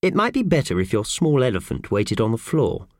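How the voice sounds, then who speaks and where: A man voicing a calm, helpful stick insect with formal, polite manners, in a children's audiobook. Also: medium pitch, a little fast, no echo, studio quality, voice pushed up. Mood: courteous, suggesting.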